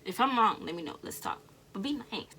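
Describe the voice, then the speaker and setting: A woman's voice: mid-range voice, expressive, a little fast, sharp tone, soft background music. A young woman with a Dominican accent, chatting casually to her viewers as a reaction host, rambling with fillers and restarts.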